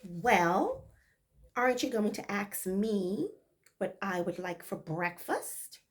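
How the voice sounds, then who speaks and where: A woman voicing a haughty young wife who gives sharp, commanding orders to her maid. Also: mid-range voice, lively intonation, takes it slowly. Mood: cold.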